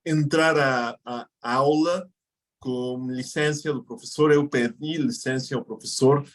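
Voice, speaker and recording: Male guest from Mexico, native Spanish speaker, mid-pitched voice, thinks aloud as he explains, restarts his phrases. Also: fairly even intonation. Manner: explanatory, thinks aloud with pauses and self-corrections. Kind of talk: casual interview conversation.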